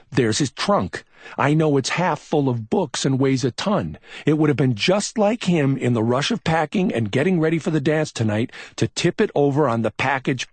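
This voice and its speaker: A man voicing a brusque, excitable male police officer who snaps out urgent reasoning. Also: medium pitch, husky, dry recording, studio quality, pitched above the performer's own voice. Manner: snapping, urgent, scolding.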